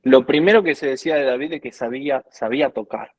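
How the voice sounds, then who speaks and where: A man's voice: mid-range voice, quick pace, darkish tone, dry recording. A man from Argentina with an Argentine accent, a guest worship minister teaching earnestly in conversation and quoting scripture at length. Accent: Argentine Spanish.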